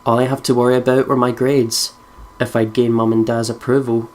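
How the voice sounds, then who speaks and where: A woman's voice: rumbling low, level tone, brisk, a little mellow. Chatty Scottish woman playing a game. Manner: chatty, swears freely, laughs often. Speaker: let's play host. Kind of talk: casual gameplay commentary and reading aloud.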